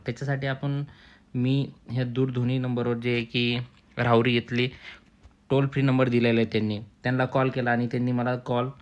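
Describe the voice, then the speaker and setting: A young man explains things informally to viewers, repeating himself and addressing them as friends. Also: mid-range voice. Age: young adult. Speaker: student making an exam and job information video.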